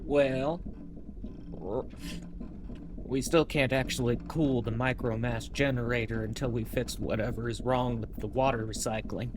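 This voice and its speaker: An actor playing a ship engineer who reports in an easygoing, matter-of-fact way, in a noisy audio drama recording. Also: male voice, mid-range voice, fairly even intonation, measured pace, lightly acted.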